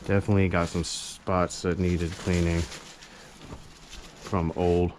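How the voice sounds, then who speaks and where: A man with a low-pitched voice talks through a hands-on repair in loose, slangy, unscripted chat. He drifts between muttering to himself and addressing viewers. The action-camera audio has a faint noise behind him. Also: little pitch movement, unhurried, darkish tone. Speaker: amateur repair vlogger. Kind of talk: casual unscripted chat while working on a repair. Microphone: GoPro action camera.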